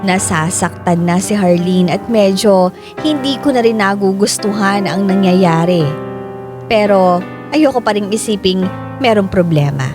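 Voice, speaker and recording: A woman's voice: mid-range voice, a little slow. A woman with a Filipino accent narrating a dramatized first-person story. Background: music.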